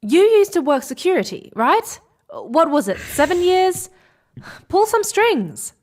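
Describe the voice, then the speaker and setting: A woman's voice: very high voice, big pitch swings, unhurried, full character voice. A woman acting a determined, stubborn space explorer in a sci-fi audio drama, pleading and insistent. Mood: coaxing.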